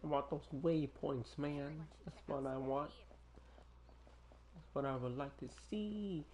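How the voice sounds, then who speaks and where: A man's voice: fairly high pitch, takes it slowly, dark tone. A man casually commenting while streaming a game, talking to himself in a laid-back way. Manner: laid-back, talks to himself, hums along. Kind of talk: casual live gameplay commentary. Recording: gameplay stream with faint game music.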